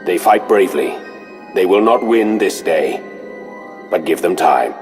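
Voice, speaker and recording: An actor playing a stern, loyal squad commander in a video game, speaking in clipped, formal military fashion. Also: male voice, sits low, animated, measured pace, darkish tone, long reverb tail, faint music behind.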